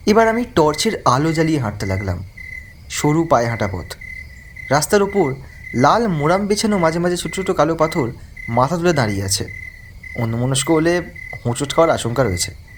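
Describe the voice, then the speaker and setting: A man's voice: a little high, lively intonation, a little fast, long pauses, slight background noise. A man narrating a first-person horror story from a script. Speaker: narrator of an animated ghost story.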